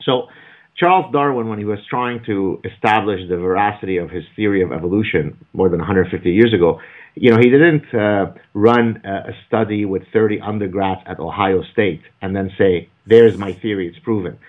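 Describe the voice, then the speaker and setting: A man's voice: fairly low pitch, moderate pace. A man speaking as an author and guest in an interview, emphatic and argumentative, lecturing at length. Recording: phone-like narrow audio.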